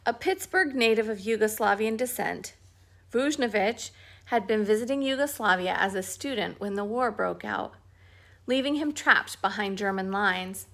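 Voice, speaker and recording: A woman reading a history book aloud at home, at an unhurried pace and with small restarts. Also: medium pitch, a bit bright. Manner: firm, scolding talk to a pet between passages. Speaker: reader of a book.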